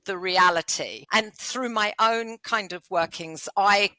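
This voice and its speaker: A British woman hosting a conversational interview, with a loud voice and a blunt, outspoken manner. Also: medium pitch, a little slow, no echo. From United Kingdom. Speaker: podcast host.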